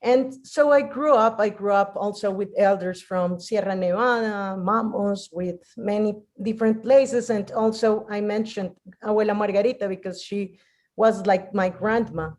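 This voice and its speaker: A woman's voice: medium pitch, a little mellow. A woman from Colombia with a Colombian Spanish accent, telling personal stories off the cuff and often searching for words in a second language. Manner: halting, searching for words in non-native English. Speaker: guest speaker. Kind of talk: unscripted personal storytelling.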